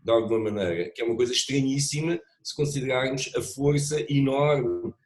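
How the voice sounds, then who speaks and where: A middle-aged man talks through his ideas on a discussion panel in a measured, reflective way. He hesitates often, restarts his phrases, and speaks in an even, mid-pitched voice. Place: lives in Macau.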